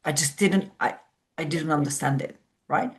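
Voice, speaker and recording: A woman's voice: low voice, normal speed. A woman from Hungary, a sleep coach explaining ideas reflectively as a podcast guest. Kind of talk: podcast conversation.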